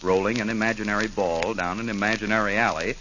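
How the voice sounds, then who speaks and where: A man's voice: lowish voice, steady tone, fast, natural voice. A man working as a radio announcer, narrating a 1940s comedy show in a wry, genial manner. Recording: noisy, muffled old radio recording.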